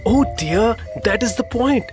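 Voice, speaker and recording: Male actor as secretive farmer husband, storybook cartoon, theatrical, lively pitch, light background music. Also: a little slow. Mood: excited, secretive. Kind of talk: animated storybook voice acting.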